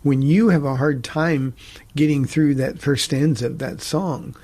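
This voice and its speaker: A middle-aged man, a pastor hosting a talk show, teaching from the Bible in an earnest, halting way with frequent restarts. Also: a little high, steady tone, dark tone.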